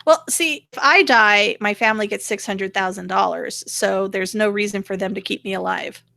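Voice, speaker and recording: A woman's voice: mid-range voice, normal speed, creaky, pushed and bright, no echo. A woman hosting a casual political chat, explaining her opinions as she goes. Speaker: show host.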